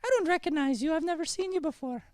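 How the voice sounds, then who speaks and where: Man doing a young Irish schoolgirl, high-pitched, forthright, friendly, radio comedy, dry sound. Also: fast, no echo. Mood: curious, teasing. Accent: Irish, put on. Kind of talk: improvised old-time radio comedy play.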